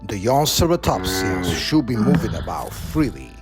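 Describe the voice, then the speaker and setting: A man narrates a nature documentary in a low voice. His pitch moves in a lively way through the scripted lines, and music plays clearly behind him. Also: normal speed.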